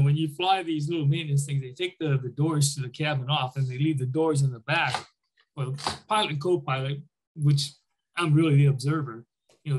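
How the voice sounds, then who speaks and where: Older man with a higher-pitched voice, reminiscing. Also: breathy edge, poor audio. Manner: informal storytelling, acting out remembered dialogue. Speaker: military veteran, former Army air traffic controller, interviewee. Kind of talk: conversational war reminiscence.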